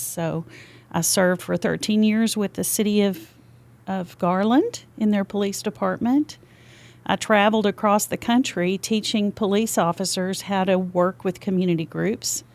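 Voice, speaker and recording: A woman's voice: mid-range voice, a little mellow. An older woman with a Texas accent, chatting freely as a podcast guest in a studio. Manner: chatty and talkative. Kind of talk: casual interview chat.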